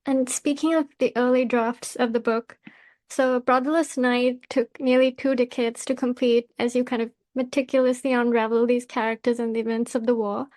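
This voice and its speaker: A woman hosting a podcast, putting prepared interview questions in a measured, polite way. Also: a little high, flat delivery, a little mellow.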